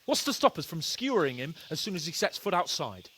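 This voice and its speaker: A man's voice: fairly high pitch, very animated, a little fast, bright, forceful tone, breathy edge, heavily acted. An actor playing an earnest, hot-headed young man in a medieval video game drama. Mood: angry, protesting.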